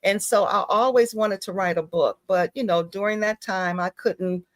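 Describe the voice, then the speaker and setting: A middle-aged woman talking conversationally as an interview guest, emphatic and animated, often checking in with short tag questions. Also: medium pitch, moderate pace, dry recording. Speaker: talk show guest promoting events and a book.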